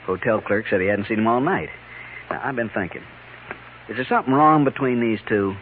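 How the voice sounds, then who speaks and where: Deep, brisk frontier saloon boss played by a man. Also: close to an everyday voice. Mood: angry, suspicious. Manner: urgent, folksy, blunt. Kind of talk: 1950s radio western acting. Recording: muffled, noisy old radio broadcast.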